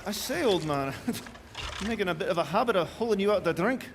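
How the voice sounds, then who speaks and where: A kindly, hearty gentleman boatman, acted by a man in a stage radio play. His high voice moves up and down a lot as he urges and chums along, with faint water noise. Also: expressive, fairly quick. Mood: hearty, reassuring. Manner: hearty, urging, chummy. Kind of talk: theatrical live audio drama.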